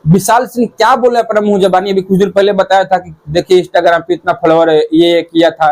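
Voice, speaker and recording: High-pitched man commenting. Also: fairly even intonation, fairly quick. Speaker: YouTube commentator. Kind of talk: informal commentary to viewers.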